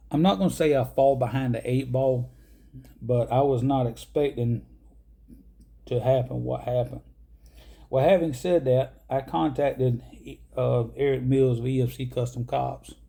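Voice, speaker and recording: A man's voice: mid-range voice, vocal fry, dark tone. A man, casually rambling through a show-and-tell vlog with many ums and uhs. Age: 50s. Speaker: pipe-smoking vlogger.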